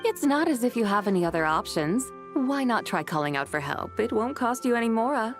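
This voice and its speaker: A woman playing a composed, wry immortal woman who speaks formally and measuredly, gently teasing, in an anime game. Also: on the high side, big pitch swings, a little fast, over music. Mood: encouraging. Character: composed, wry female immortal adeptus with sly wisdom.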